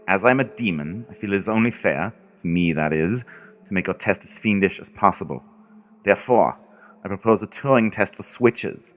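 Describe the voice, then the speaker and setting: A man's voice: low voice, fairly bright tone. A man playing a haughty, condescending demon in a radio play, speaking in a pompous, formal way. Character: haughty male demon, courtly, condescending, fiendish. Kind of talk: theatrical radio play monologue.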